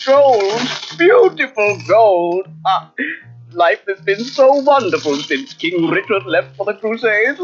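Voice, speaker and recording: A man's voice: sits high, big pitch swings, slow, full character voice. A man playing a greedy, pompous prince in a children's storybook, theatrical and haughty, drawing out words. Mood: gloating. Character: greedy, gloating, pompous male animal prince. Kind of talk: children's cartoon storybook acting. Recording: dull cassette, faint music behind.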